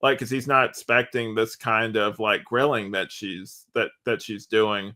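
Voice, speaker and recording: A man's voice: on the low side, fairly even intonation, measured pace, sharp tone. A man co-hosting a podcast in casual discussion, talking in a rambling, conversational way with "like" dropped in often. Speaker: podcast co-host.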